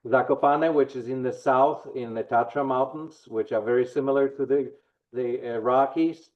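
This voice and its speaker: A man's voice: medium pitch, fairly even intonation, moderate pace, highs cut off. A man from Poland with a Polish accent, giving a town hall presentation with frequent restarts and fillers.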